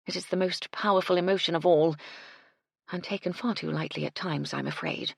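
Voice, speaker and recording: Woman as a composed, genteel lady, slightly husky. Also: lowish voice, steady tone, no echo, studio quality, full character voice. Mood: reflective. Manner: measured, genteel, reassuring. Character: composed, kindly, firm lady in charge of the household.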